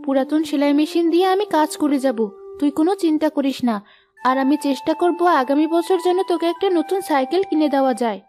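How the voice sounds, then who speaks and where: A woman's voice: high-pitched, fast, warm tone, soft background music, lightly acted. A woman playing a poor, loving village mother in a cartoon, plaintive and caring. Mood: careworn, resolute. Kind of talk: Bengali cartoon moral story dialogue.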